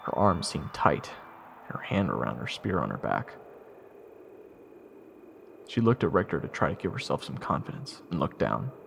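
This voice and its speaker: A man's voice: very deep voice, sing-song, moderate pace, vocal fry, pauses often, a bit bright, a little hiss or hum, exaggerated voice. A man playing a wry soldier who narrates his own fantasy story in a matter-of-fact way. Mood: wry, watchful. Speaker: narrator of audio roleplay. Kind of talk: first-person scripted narration.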